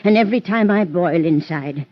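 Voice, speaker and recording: Actress doing a fussy, nagging rural American farm wife. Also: female voice, fairly low pitch, moderate pace, lightly acted. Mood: indignant. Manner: scolding, exclamatory, folksy. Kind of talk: old-time radio drama acting. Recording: dull, lo-fi vintage radio recording.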